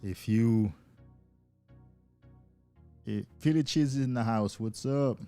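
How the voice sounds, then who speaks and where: A man's voice: mid-range voice, slow, warm tone, clean tone, soft background music. A man hosting a casual live stream as a coach, talking loosely and enthusiastically to viewers and often repeating words as he goes.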